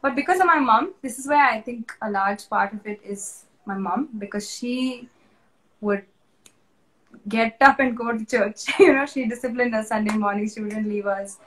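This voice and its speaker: A woman's voice: on the high side, moderate pace, rough recording. Earnest young woman sharing her testimony. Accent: Indian English. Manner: earnest, emotional, candid, with restarts and soft laughs. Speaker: interview guest. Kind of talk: personal testimony in conversation.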